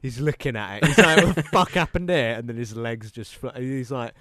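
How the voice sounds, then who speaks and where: A man's voice: medium pitch, a little fast, fairly bright tone, no room sound. A man with a bunged-up, congested nose rambles through a casual podcast chat, swearing freely and laughing easily. He sounds close to the mic in a dry, dead room. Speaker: podcast co-host.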